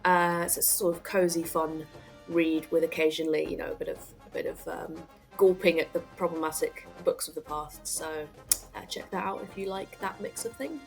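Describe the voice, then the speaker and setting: A woman's voice: on the low side, steady tone, fairly quick, creaky, soft background music. A woman comedian guest chatting casually on a podcast, wry and self-deprecating.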